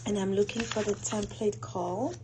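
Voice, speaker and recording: A woman talking casually and haltingly as she thinks aloud through her planning in an audio log. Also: medium pitch, fairly even intonation. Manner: unhurried, halting, thinking aloud while doing tasks. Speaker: host of a planning audio log for a women's group. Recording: noticeable background noise.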